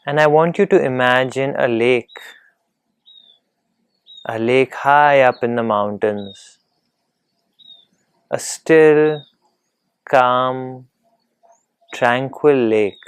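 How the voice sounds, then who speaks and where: Very slow, calm male meditation guide. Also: mid-range voice, smooth, dry recording. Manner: calm, gentle, unhurried instruction with long pauses.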